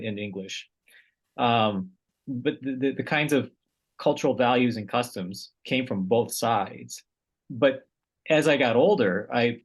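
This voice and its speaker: A man from Vietnam, a researcher guest in a casual interview, speaking thoughtfully and often repeating words as he searches for the right phrasing. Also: mid-range voice.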